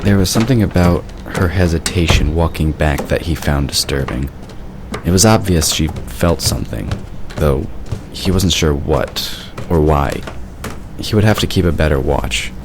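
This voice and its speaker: A man's voice: very deep voice, moderate pace. A man reading scripted narration for a fantasy audio drama. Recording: clear background noise.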